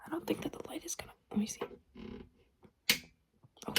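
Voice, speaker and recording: A woman speaking softly and breathily in an ASMR roleplay, often slipping into a whisper, chatty and a little hesitant. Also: brisk. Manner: hesitant, chatty, murmured reading aloud. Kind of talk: soft-spoken ASMR roleplay. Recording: noticeable background noise. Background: paper and tapping sounds.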